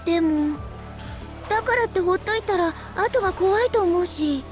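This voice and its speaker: A woman's voice: very high voice, fast talker. An actress playing a feisty, wary teenage schoolgirl in a Japanese visual novel, with pert speech. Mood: worried. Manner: pert, complaining schoolgirl talk. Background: game music.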